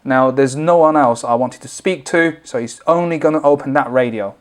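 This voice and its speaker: A man's voice: mid-range voice, moderate pace. A man presenting an informal, unscripted product tutorial, explaining things step by step as he demonstrates.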